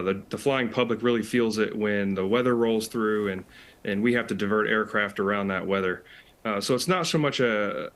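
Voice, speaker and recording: American male interview guest, thirties to forties, fairly low voice, conversational explanatory answers. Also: fairly bright tone. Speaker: interview guest, air traffic control specialist.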